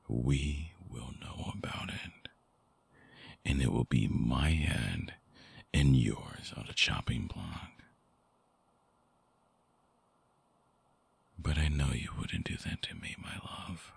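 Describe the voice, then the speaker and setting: A man playing a brooding, seductive, possessive vampire ex-lover in an intimate ASMR audio roleplay, with slow, hushed, coaxing delivery and a very deep, breathy, gravelly voice on a close mic. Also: full character voice. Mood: resigned, quietly warning. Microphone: close microphone, dry binaural recording.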